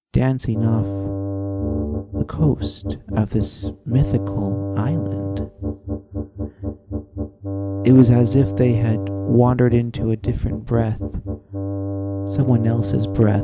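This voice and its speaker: Salty old sea captain played by a man: slow, creaky, very mellow low-lit timbre, rambling radio-adventure storyteller, soft music underneath. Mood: awed, dramatic. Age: older. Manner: halting, rambling, dramatic pauses and repetitions. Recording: voice over easy listening music. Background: easy listening soundtrack.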